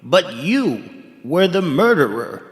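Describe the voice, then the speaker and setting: A man voicing a reluctant, apologetic blind prophet who speaks haltingly and deferentially in a storybook tale. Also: a little high, sing-song, in a large hall. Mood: reluctant, sorrowful.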